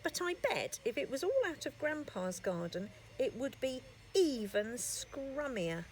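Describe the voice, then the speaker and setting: A woman's voice: sits high, big pitch swings, measured pace, darkish tone, voice pushed up. A woman voicing a stubborn young boy who is proud of his grandpa, in an insistent, emphatic storybook manner. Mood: eager. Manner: insistent, emphatic, sometimes sighing. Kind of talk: children's storybook character reading.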